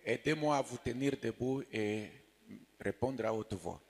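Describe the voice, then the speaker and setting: A man's voice: mid-range voice, measured pace, slightly rough. A man preaching as a pastor in short, emphatic, repeated phrases that leave room for an interpreter, recorded in a large echoing hall. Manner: emphatic, repetitive, swings between quiet asides and shouted declarations. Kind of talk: sermon delivered phrase by phrase for an interpreter. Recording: reverberant hall sound.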